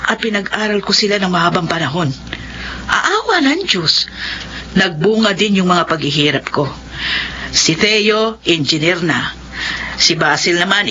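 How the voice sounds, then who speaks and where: Emotional elder sister, swooping and bright. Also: female voice, fairly low pitch, slight background noise. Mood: proud. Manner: dramatic, emphatic, reproachful. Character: emotional older sister who raised the abandoned children. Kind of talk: Filipino radio drama acting. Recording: muffled radio drama audio with music behind.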